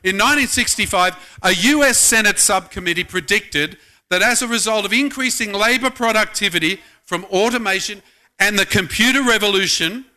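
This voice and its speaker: A middle-aged man preaches in a loose, conversational way and keeps putting questions to his congregation. His voice sits high for a man and has a bright, forceful edge. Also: brisk. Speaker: pastor. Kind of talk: sermon.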